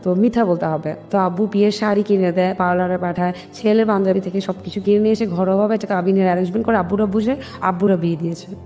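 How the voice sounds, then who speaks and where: A Bangladeshi woman recounts her own story in an interview, voicing the dialogue as she goes. Her speech is quick and mid-pitched, with some English mixed in. The recording has a long hall-like reverb with music underneath. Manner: animated retelling with quoted family dialogue, English words mixed in. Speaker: guest telling her life story.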